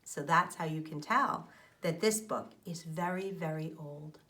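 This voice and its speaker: A woman teacher reading a children's storybook aloud, expressive, explaining things to young listeners and asking them questions. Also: low-pitched, a little slow.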